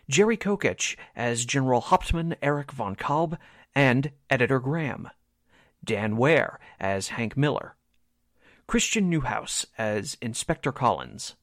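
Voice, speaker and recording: A man's voice: medium pitch, no echo, studio quality. A man reading a scripted closing announcement as a radio theater announcer, in a formal manner.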